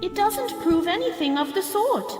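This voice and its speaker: A woman's voice: very high voice, expressive, normal speed, darkish tone. A woman in a radio play acting as an outspoken, indignant young girl who argues bluntly. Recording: echoey, long reverb, music underneath.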